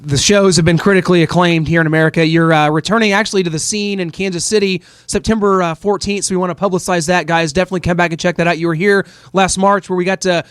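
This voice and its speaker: A man's voice: high voice, steady tone, fast talker, hardly any pauses, fairly bright tone, clear, resonant tone, no echo, clean studio sound. A man hosting a podcast interview, chatty and chummy, with lots of "uh" fillers. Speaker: podcast host. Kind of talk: casual interview.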